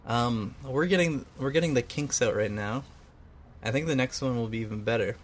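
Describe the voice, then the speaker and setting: A man co-hosts a homemade radio show with a joking, rambling and self-deprecating manner. His voice sits at a middling pitch, and a faint hiss of noise runs under the recording. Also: normal speed. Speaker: co-host. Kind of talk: casual chat.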